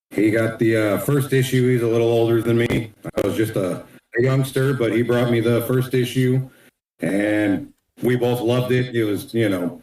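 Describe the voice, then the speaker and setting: A middle-aged man in casual podcast chat as a guest artist, talking in a relaxed, rambling way. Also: mid-range voice, reverberant, rough recording.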